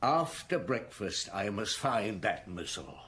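An actor in a radio drama playing a brooding police chief inspector, deliberate and questioning, thinking aloud. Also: male voice, fairly low pitch, very animated, pushed and bright, husky, heavily acted. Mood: pondering. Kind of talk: BBC radio drama acting. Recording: noisy old radio broadcast.

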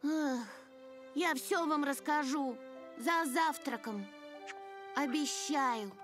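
High-voiced, stubborn cartoon farm pony. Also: female voice, takes it slowly. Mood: weary, giving in. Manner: guarded, terse, defensive. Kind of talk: animated series dub acting. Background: music.

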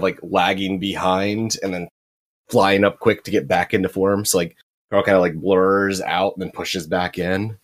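A man acting as game master, casually describing comic panels to his players with easy enthusiasm. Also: low voice. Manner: relaxed, enthusiastic, lots of fillers.

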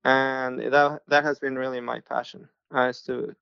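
A young adult man from Mexico, a pastor, telling his life story in a relaxed podcast interview. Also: medium pitch, a little slow, creaky, smooth, dry recording, muffled recording.

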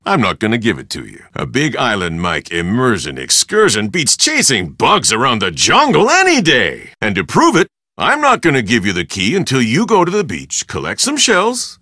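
An actor playing a boisterous Hawaiian tour operator in a video game, with hearty, pushy sales patter in short punchy phrases. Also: male voice, mid-range voice, wildly swooping pitch, normal speed, sharp tone, no room sound, studio quality, full character voice. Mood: teasing, bossy. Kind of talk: video game character dialogue.

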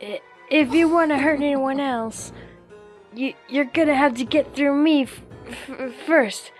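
A timid little cartoon monster child, trying to be brave. The voice is high and halting, stammering earnestly through the words. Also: female voice, soft background music. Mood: scared but brave. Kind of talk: comic dub cartoon acting.

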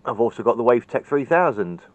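A man's voice: medium pitch, expressive, quick pace, no echo. A man giving an informal hands-on tutorial in a blunt, chatty, matter-of-fact manner. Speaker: hobbyist radio repairer demonstrating. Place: indoors at a cluttered workbench. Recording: dull, lo-fi camera audio.

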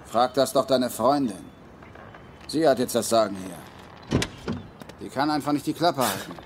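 A man's voice: medium pitch, measured pace, very noisy, close to an everyday voice. An actor playing a sneering, taunting henchman in a dubbed film. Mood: mocking. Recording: film soundtrack with loud music.

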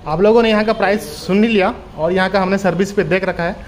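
A man with a high voice presents a casual vlog. He addresses viewers directly in an approving way, with faint noise around him indoors. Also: fairly even intonation, fast talker. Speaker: vlog host. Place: indoor salon. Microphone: behind the handheld camera. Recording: slight background noise.

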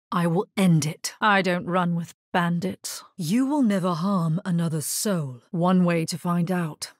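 An actress playing a grave, resolute necromancer in a dark fantasy video game, speaking scripted lines in a measured, husky voice. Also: female voice, fairly low pitch, no echo, studio quality.

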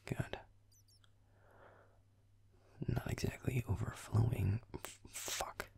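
A young man playing a grumpy, sardonic werewolf boyfriend in an intimate audio roleplay, muttering deadpan in a breathy, gravelly murmur as he games. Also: fairly low pitch, unhurried, dry recording, in a whisper, full character voice.

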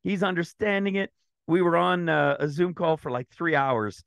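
Man, slightly raspy throat, excited rambling delivery, high pitch, dry sound. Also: moderate pace, dry recording. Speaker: ministry teacher. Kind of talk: screen-share Bible teaching.

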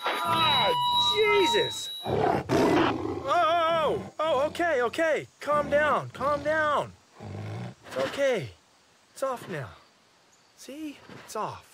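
An actor playing a wary lone survivor in a video game cutscene, talking to an animal and muttering to himself. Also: male voice, extremely high pitch, sing-song, drawn-out words, pauses often, very noisy, exaggerated voice. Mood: startled, panicked.

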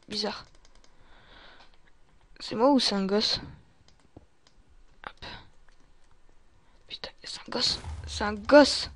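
A woman doing in-character gameplay commentary, muttering to herself, often dropping into whispers and breaking into exclamations. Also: mid-range voice. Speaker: gamer playing a role-play survival series.

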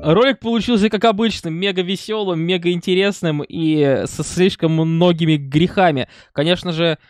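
A man's voice: sits high, fairly even intonation, normal speed, soft background music. A man in his thirties giving casual reaction commentary from a room at home. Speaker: reaction video host. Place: small home room with shelves.

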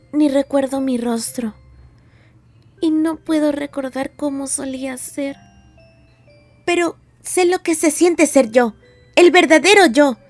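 A woman's voice: high-pitched, a little mellow, no room sound. A woman voicing an anxious young cartoon jester, earnest, weary and hesitant. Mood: sad, earnest. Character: anxious young female jester trapped in a digital circus. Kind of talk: dramatic cartoon fan dub acting.

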